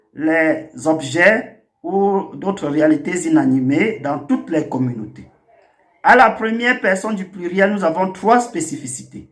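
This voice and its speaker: A man with a fairly high voice teaches a language lesson at an unhurried pace. He lays out each word carefully and pauses between items. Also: a bit bright. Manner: slow, deliberate, spells out words one by one. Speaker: language teacher and channel host.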